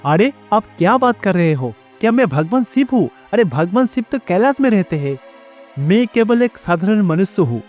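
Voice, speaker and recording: A man playing a calm, kindly god who lives as husband and father in a storybook tale. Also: sits high, moderate pace, soft background music. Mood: puzzled. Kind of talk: storybook character dialogue.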